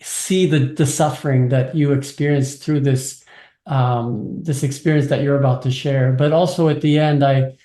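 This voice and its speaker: A man hosting a podcast interview, speaking in a gentle, encouraging way. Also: medium pitch, fairly even intonation, normal speed. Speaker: podcast host. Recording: echoey room.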